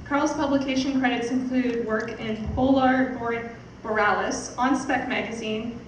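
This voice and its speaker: Young woman, higher voice, stage host reading an introduction, heavy echo, distant mic. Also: steady tone, unhurried. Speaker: event host introducing a speaker. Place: theatre stage, indoors. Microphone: stage mic on stand, picked up by distant camera. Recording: echoey, noisy hall from far off.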